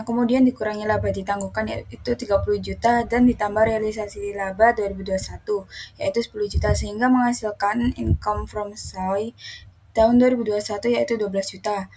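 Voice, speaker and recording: A woman's voice: mid-range voice, a little mellow, husky. A young woman explaining worked steps as a student presenter. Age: young adult. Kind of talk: explanatory class presentation, read step by step.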